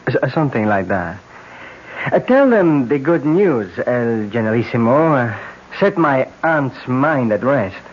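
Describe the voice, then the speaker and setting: A man's voice: mid-range voice, sing-song, measured pace. An actor playing an easygoing young wounded man, a fond nephew, in a radio drama. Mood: reassuring. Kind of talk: 1970s radio drama acting. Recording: dull vintage radio, faint hiss.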